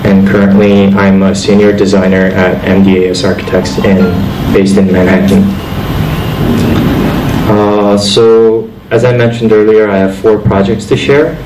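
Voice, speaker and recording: A young man with a low voice gives a modest guest lecture, pausing often and restarting his sentences. He is picked up from far off in a roomy hall with steady noise behind. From Indonesia. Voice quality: halting, many fillers and restarts. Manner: self-deprecating and modest. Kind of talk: guest lecture to architecture students. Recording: echoey hall from far off, noisy.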